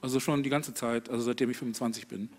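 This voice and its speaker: Halting man in his sixties. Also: medium pitch, fairly even intonation, background noise. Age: 60s. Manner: halting, thoughtful, many hesitations. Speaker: guest musician. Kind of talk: stage interview. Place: indoor stage with audience. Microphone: handheld microphone.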